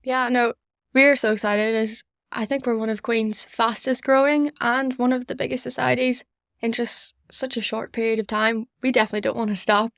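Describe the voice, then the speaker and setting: A woman's voice: fairly high pitch, steady tone, normal speed, vocal fry, a bit bright, dry recording, clean studio sound. A young woman, chatting earnestly as an interview guest. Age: young adult. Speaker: podcast guest, student society organiser. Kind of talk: conversational interview answers.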